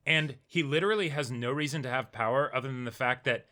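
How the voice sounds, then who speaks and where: Male co-host, rapid and punchy delivery, bright edge, casual rambling debate style. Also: mid-range voice. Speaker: co-host of an anime reaction show. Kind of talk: casual discussion of an anime episode.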